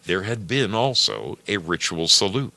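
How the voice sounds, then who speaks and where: A man narrating a short story from a script, with deliberate, measured phrasing and pauses between phrases. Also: deep voice, big pitch swings, vocal fry, bright, forceful tone, dry recording. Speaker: storyteller and program host.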